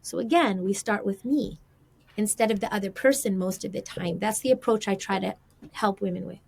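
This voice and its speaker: A woman's voice: mid-range voice, moderate pace, vocal fry, darkish tone, breathy edge. A woman giving conversational relationship advice as a podcast guest, explaining through example questions and quotes.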